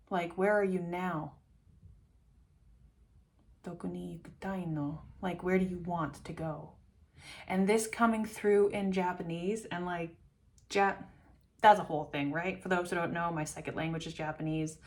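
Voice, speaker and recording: A woman giving an intuitive reading straight to camera, meandering and chatty, musing aloud as images come to her. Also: lowish voice. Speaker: tarot reader.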